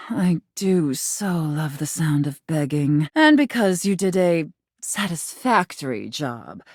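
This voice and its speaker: A haughty, seductive mistress from a video game, speaks in a low, slow voice with sweeping intonation. She is commanding and condescending, teasing as she gives orders. The recording is close and dry. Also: no echo, exaggerated voice. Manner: haughty, sultry, condescending, drawn-out deliberate phrasing. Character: imperious, teasing dominatrix, a living safe in human guise. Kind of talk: video game character acting.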